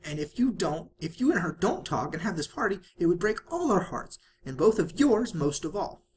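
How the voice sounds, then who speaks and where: A storybook reader voicing a hyperactive, bubbly young female cartoon pony, excitable, playful and coaxing. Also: medium pitch, moderate pace, pitched above the performer's own voice, close to an everyday voice. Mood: pleading, insistent.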